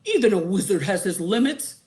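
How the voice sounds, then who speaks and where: A woman's voice: medium pitch, very animated, brisk, darkish tone, close to an everyday voice. A woman voicing a weary, good-natured male wizard who talks in a dry, reluctant way. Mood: wry.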